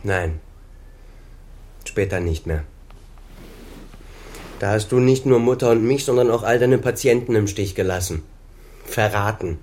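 A man playing an embittered son in his thirties in a radio crime drama. The voice sits low. He speaks deliberately and pointedly, with reproach under the words. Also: darkish tone, lightly acted. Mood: scornful, accusing. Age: 30s. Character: bitter, accusing son of a doctor, thirty-six.

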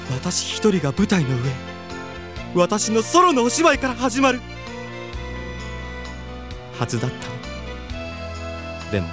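An actor playing a flamboyant, effeminate middle-aged male teacher of performing arts in an audio drama, with campy feminine speech and dramatic pauses. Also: fairly high pitch. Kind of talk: anime audio drama acting.

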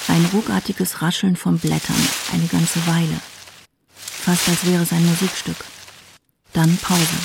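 A detached radio drama narrator. She speaks very slowly in flat, deadpan fragments with a creaky voice, amid clear ambient noise. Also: fairly low pitch. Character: detached female narrator announcing scenes and sounds. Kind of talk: radio drama stage directions. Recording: loud ambient noise behind.

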